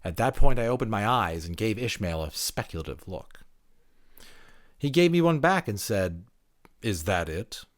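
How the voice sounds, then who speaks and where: A man's voice: lowish voice, big pitch swings, moderate pace, no echo, studio quality. A man reading a first-person novel aloud as a dramatic audiobook narrator, with theatrical phrasing.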